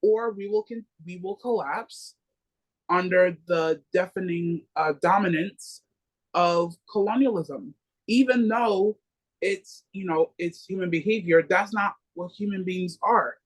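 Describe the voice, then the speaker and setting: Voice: mid-range voice, unhurried, rough recording. A book club member in political discussion, speaking earnestly and rallying others.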